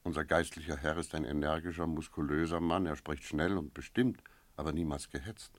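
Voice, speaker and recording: An actor playing a wary village innkeeper in a German radio drama, telling his story in a measured, brooding way. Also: very deep voice, steady tone, no room sound. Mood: brooding, reflective. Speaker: narrator and character in a radio play.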